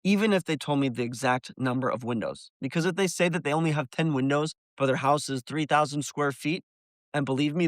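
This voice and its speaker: A man's voice: mid-range voice, no echo. A man presenting an instructional video, explaining things step by step and addressing the listener directly. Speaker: window cleaner presenting a business tutorial. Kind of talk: instructional video narration to viewers.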